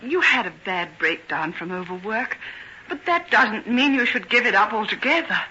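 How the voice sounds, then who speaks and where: High-voiced earnest young nurse, vintage radio. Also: female voice, lively intonation, normal speed, bright, forceful tone. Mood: earnest, scolding. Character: young female nurse, devoted, earnest, gently scolding. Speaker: actress in a radio serial. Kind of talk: old-time radio drama acting. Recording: dull, faintly noisy vintage radio recording.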